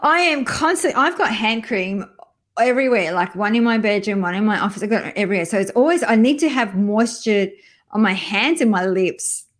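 A woman's voice: medium pitch, fairly quick. Middle-aged woman guest, upbeat conversational interviewee. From France. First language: French. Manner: upbeat, chatty, with restarts. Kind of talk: casual interview chat.

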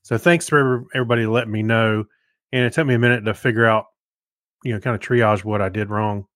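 A man co-hosting a podcast in casual chat, speaking in a halting, easygoing way. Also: lowish voice, fairly even intonation, a bit bright, no echo, clean studio sound. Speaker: podcast co-host. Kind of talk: casual podcast chat, reading listener feedback.

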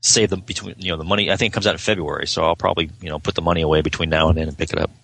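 Man, quick pace, forward bright tone, rough-edged, casual podcast guest. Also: extremely low pitch, fairly even intonation. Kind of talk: casual chat.

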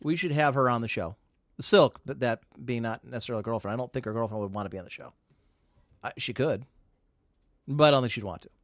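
A man's voice: medium pitch, fast talker, pauses often, no room sound, clean studio sound. A man hosting a live podcast, chatting casually, rambling and teasing with mock boasts. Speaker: podcast host.

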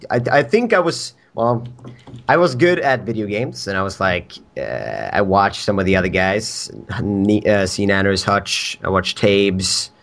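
A man with a Swedish accent speaks in a fairly low voice as a podcast guest. His talk is loose and rambling. He laughs a lot and swears freely. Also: very animated, a little slow, fairly bright tone. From Sweden. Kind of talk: casual podcast chat.

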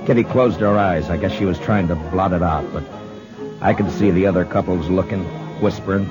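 Actor as a deep-voiced, stern frontier marshal. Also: male voice, close to an everyday voice. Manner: terse, firm, deliberate. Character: stern, stubborn Old West lawman, world-weary narrator. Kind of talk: 1950s radio Western acting and narration. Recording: dull vintage radio recording, music behind.

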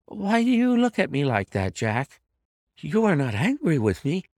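A man's voice: on the high side, wildly swooping pitch, measured pace, a little mellow, no room sound, clean studio sound, pitched above the performer's own voice, heavily acted. A man voicing an anxious, secretive wife who pleads desperately and emphatically in an audiobook mystery. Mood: nervous, placating. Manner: imploring, emphatic, breathless entreaty. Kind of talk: dramatized audiobook reading of a classic mystery story.

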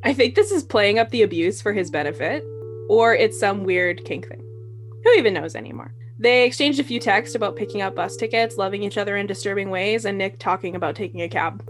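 A woman's voice: mid-range voice, lively intonation, a little fast. A woman narrating from a script with wry, sardonic asides. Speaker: narrator and commentator. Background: music.

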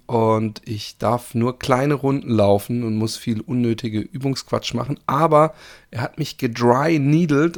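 A man hosting a podcast in casual interview chat, joking and chatty with many fillers. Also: on the low side. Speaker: podcast host.

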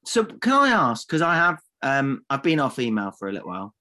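A man's voice: mid-range voice, wildly swooping pitch, fairly quick, sharp tone. A man co-hosting a comedy podcast, teasing and theatrically mock-insulting his partner. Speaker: podcast co-host. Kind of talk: comic banter.

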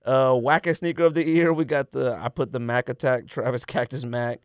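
A man's voice: mid-range voice, little pitch movement, brisk, dry recording. A man hosts a casual podcast in a mid-range voice. He talks in loose, slangy phrases, moving between reading out lists and commenting on them. The sound is dry, with very little room in it. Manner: slangy and informal. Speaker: podcast host. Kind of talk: casual chat about sneaker poll results.

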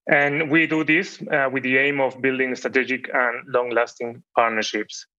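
A polite man in his forties from Argentina, introducing himself at a measured pace. His voice is bright and somewhat tense. The audio is dull and lo-fi. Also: mid-range voice, flat delivery. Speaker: panel guest, bank business unit head. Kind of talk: guest introduction.

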